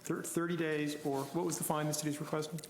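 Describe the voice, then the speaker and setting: A man presiding as special magistrate, politely and briskly questioning witnesses. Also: fairly high pitch, little pitch movement, slight background noise. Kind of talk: questioning at a hearing. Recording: echoey hall from council mic.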